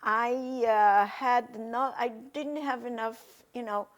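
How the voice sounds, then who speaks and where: An older woman whose first language is Polish, recalling her past slowly and hesitantly in an oral history interview. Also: a little high, steady tone. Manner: slow, hesitant, reflective, many pauses and fillers. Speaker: interviewee, testimony witness. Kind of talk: recorded oral history testimony.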